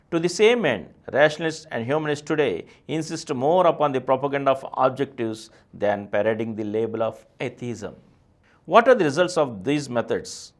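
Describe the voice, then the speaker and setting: Indian man reading formally. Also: medium pitch. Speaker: presenter reading questions and answers. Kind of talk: scripted read-aloud, expository.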